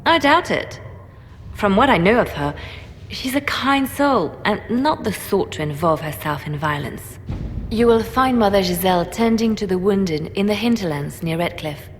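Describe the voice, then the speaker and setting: An actress playing a composed, diplomatic woman advisor in a video game, speaking in a measured, formal way. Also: mid-range voice, expressive, in a large hall, a little hiss or hum, natural voice. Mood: calm, informative.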